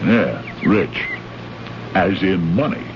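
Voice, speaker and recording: An American actor playing a brash, greedy, condescending trade envoy from Earth in an old radio drama, talking in slangy, bullying fashion. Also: male voice, low voice, expressive, slow. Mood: pushy, menacing. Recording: noisy, muffled old radio recording.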